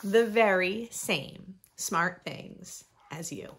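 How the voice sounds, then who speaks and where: A woman in her thirties reading aloud expressively for young children, with playful emphasis and deliberate phrasing. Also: on the low side, lively intonation, fairly bright tone, breathy edge. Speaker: host of a children's read-aloud channel. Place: small furnished room indoors.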